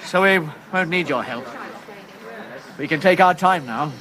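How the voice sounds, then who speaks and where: An actor playing a quick-witted doctor and robbery ringleader in a radio drama, brisk and commanding. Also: male voice, on the high side, pushed and bright. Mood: bluffing. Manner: brisk, commanding, smoothly bluffing.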